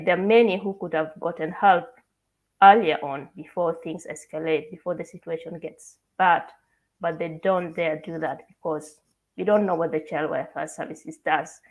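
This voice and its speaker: A woman from South Sudan moderating a panel discussion, polite and measured, asking long questions. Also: lowish voice, rough recording.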